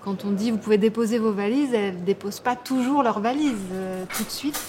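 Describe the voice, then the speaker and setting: A woman with a mid-range voice speaks in a measured way about the shelter's residents, with a few fillers. She sounds like staff giving a documentary interview. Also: medium pitch, darkish tone, slight background noise.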